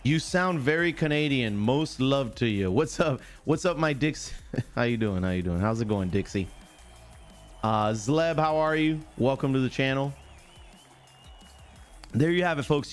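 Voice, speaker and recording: A man hosting a live sports stream, chatting casually in chummy slang, greeting viewers by name and repeating himself. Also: on the high side.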